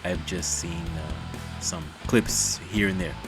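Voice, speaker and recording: A man's voice: sits low, takes it slowly, music in the background. A man hosting a reaction video, talking in a chatty, rambling, self-deprecating way. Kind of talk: casual rambling commentary.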